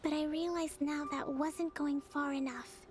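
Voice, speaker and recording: Music plays behind a high, youthful female voice, a dignified priestess who leads an army in a video game. She is gentle and composed. Her phrasing is formal and carefully measured. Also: fairly even intonation. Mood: soft, tired. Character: gentle, dignified young priestess and military leader.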